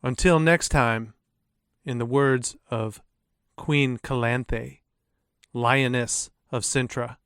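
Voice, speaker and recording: A man's voice: mid-range voice, steady tone, takes it slowly, long pauses, fairly bright tone, clean tone, no echo, studio quality. A man hosting a podcast, reading a scripted introduction with casual asides in a theatrical, playful storyteller's phrasing. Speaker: podcast host.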